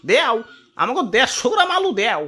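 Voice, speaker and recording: An old cartoon market shopper, a man as played by a woman, easily startled and full of folksy exclamations. The voice is sharp and fairly high with lively swings and a Bangladeshi accent. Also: fairly quick, faint music behind, exaggerated voice. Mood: dismayed, bargaining. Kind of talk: comic cartoon voice acting.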